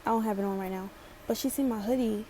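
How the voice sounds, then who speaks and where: A woman's voice: a little high, quick pace, dark tone, slight background noise. A chatty young woman from Maryland with a light Baltimore accent, rambling sassily through a casual storytime. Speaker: vlogger.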